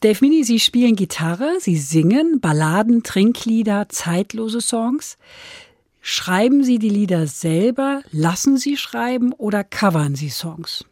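A woman hosting a radio interview, asking clear and structured questions. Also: mid-range voice, expressive, measured pace, a little mellow, dry recording, studio quality. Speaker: radio host.

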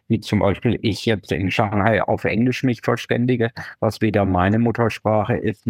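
A man from Germany speaks as a researcher guest in an interview. He sets out his points calmly and in order, with an academic tone. The sound is dry and close, with no room around him. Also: lowish voice, no room sound. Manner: measured, academic, lays out arguments step by step. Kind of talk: explanatory interview answers.

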